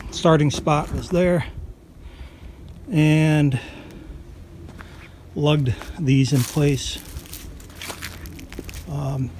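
A man's voice: a little high, darkish tone. A man giving a casual, folksy how-to narration in a plain-spoken way, recorded outdoors on a handheld camera. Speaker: farm vlogger. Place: outdoors on a farm property. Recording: outdoor noise behind the voice.

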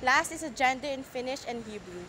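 A woman's voice: high-pitched, lively intonation, fairly quick, sharp tone, slight background noise. A young woman from the Philippines, a university student, reading examples to camera with some emphasis. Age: young adult. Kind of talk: scripted class video presentation. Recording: echoey room sound.

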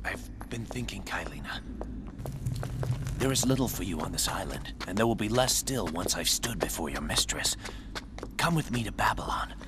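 An intense young warrior prince, wide-swinging voice. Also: male voice, sits low, animated, moderate pace, heavy background noise. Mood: hushed, earnest. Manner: earnest, intense, theatrical delivery. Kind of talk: dramatic video game cutscene acting.

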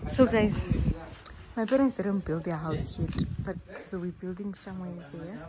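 A woman's voice: lowish voice, measured pace. A young woman from Namibia chatting casually to her phone camera while showing a rural farm. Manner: chatty, laughing asides. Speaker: vlogger. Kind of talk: casual vlog chat to camera. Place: outdoors on a rural cattle farm. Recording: noisy outdoor phone audio.

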